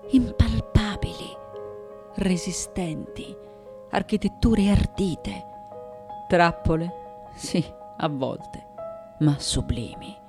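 Slow, intense elderly woman artist. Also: lowish voice. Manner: deliberate, dramatic, short phrases with long pauses, swelling from hushed to impassioned. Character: elderly visionary woman sculptor, intense, tormented, longing for her mother. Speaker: actress in a radio monologue. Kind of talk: poetic theatrical radio monologue.

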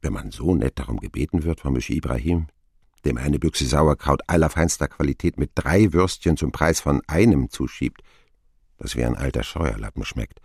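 An actor playing a grown man who wryly recalls his boyhood, narrating a radio drama in an expressive, sardonic manner. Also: very deep voice, moderate pace, vocal fry, slightly rough, no echo, clean studio sound, heavily acted. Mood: wry, elated.

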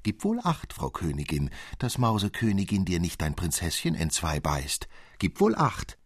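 A man's voice: low-pitched, huge pitch swings, moderate pace, husky, no room sound, clean studio sound, heavily acted. A man voicing a vengeful, menacing mother mouse queen in a storybook tale, warning and threatening.

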